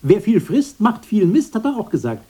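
Radio play role: proud, pedantic old brother. Husky, mellow low-lit tone, earnest delivery. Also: male voice, big pitch swings, normal speed, exaggerated voice. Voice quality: dark, husky. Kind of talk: radio drama acting.

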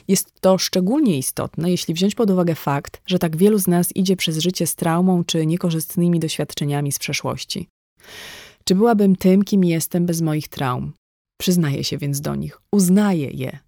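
A woman's voice: fairly low pitch, normal speed, mellow, no echo, studio quality. A woman reading a scripted audiobook dialogue as a narrator. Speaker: audiobook reader.

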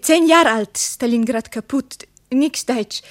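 Russian lady interpreter, apologetic broken German, high voice, very slow and halting, radio drama. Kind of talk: radio play acting.

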